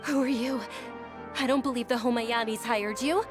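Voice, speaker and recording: Woman playing a polite, higher-voiced young noble lady. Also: fairly even intonation, moderate pace, lightly acted. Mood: firm. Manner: polite, proper. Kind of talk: anime game voice acting. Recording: music under game dialogue.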